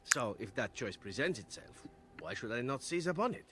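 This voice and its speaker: An actor voicing a suave, easygoing assassin in a fantasy video game, with a wry, nonchalant manner. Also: male voice, medium pitch, very animated, moderate pace, close to an everyday voice. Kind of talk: scripted video game dialogue.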